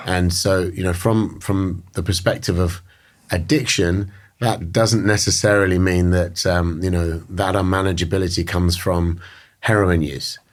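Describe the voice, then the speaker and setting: A British man being interviewed, speaking in a measured, thoughtful way with frequent fillers and restarts. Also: low-pitched, fairly even intonation. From Britain. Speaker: guest. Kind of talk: reflective interview talk.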